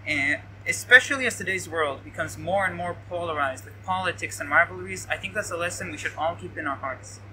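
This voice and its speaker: A teenage male high school student giving a prepared personal reflection speech, recorded outdoors on a camera a few steps away. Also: a little fast, few pauses, poor audio. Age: teens. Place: outdoors by a leafy hedge. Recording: bright outdoor recording with faint noise.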